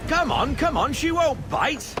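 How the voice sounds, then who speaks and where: An actor playing a jovial, salty middle-aged sea captain in a video game, with rollicking nautical talk. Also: male voice, extremely high pitch, big pitch swings, unhurried, noisy background, full character voice. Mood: bellowing orders. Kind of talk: video game cutscene acting.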